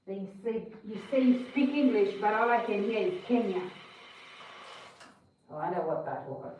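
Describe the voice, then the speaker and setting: A woman's voice: mid-range voice, normal speed, darkish tone. A woman hosting a live cooking stream, greeting viewers in a chatty, welcoming way and laughing often. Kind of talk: casual chat with viewers. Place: home kitchen. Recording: very echoey, distant, muffled, faint hum.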